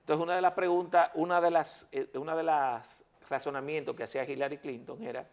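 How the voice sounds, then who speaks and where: A man argues his case on a talk show with lawyerly insistence. His voice sits a little high, and he keeps repeating words to hold the floor. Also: level tone, brisk. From Dominican Republic. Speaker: lawyer guest. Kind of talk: heated talk-show debate.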